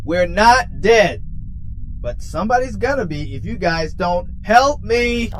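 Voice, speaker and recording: A man's voice: high voice, lively intonation, measured pace, slight background noise, rough recording. A man playing a grumpy, put-upon robot who whines and complains in a comic audio drama. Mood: whiny, aggrieved. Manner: whiny, complaining.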